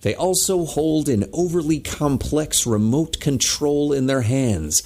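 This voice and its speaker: A man's voice: fairly high pitch, expressive, unhurried, a little mellow. A man delivering scripted dramatic narration as the narrator of a story.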